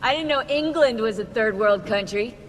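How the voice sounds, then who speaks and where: An actress playing a wry, laid-back young tech operative in a video game. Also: female voice, a little high, moderate pace, slight background noise, natural voice. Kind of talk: casual video game acting. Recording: very echoey room with long reverb.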